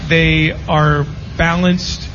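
A man's voice: fairly high pitch, little pitch movement, extremely slow, a bit bright. A man giving casual, matter-of-fact sports analysis with firm opinions. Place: live broadcast from a casino. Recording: muffled, faintly noisy live venue recording.

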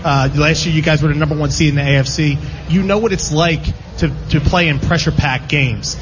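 A man's voice: on the high side, steady tone, brisk. A man hosting a casual sports radio interview, chatty and rambling in his questions. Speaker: sports radio show host. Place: live show at a casino. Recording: muffled lo-fi broadcast with background noise.

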